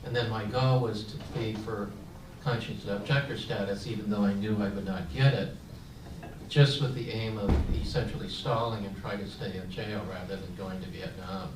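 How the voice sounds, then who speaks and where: An older man shares a personal story as a veteran on a panel, pausing often with fillers and restarting his sentences. His voice sits fairly low, and the mic catches him from some distance. The room is echoey, and a clear layer of background noise runs under his speech. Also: fairly even intonation. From San Francisco, United States. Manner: reflective, with frequent fillers and restarts.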